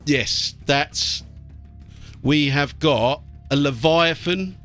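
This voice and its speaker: Bright-voiced British man doing game commentary. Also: fairly high pitch, drawn-out words. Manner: blokey, swearing banter. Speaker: let's play host. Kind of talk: casual gameplay commentary. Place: small warm room indoors. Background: game music.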